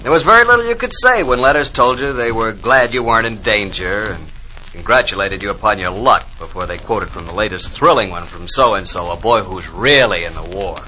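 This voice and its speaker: Bitter male army sergeant, low but sharp voice, ironic delivery, noisy old radio recording. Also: expressive, fairly quick. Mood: wry, bitter. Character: wry, resentful army supply sergeant. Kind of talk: 1940s radio drama, poetic monologue.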